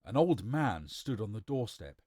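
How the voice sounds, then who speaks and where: Animated male storyteller, dry sound. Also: lowish voice, a little slow, dry recording. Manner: theatrical, emphatic. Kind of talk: dramatic storybook narration.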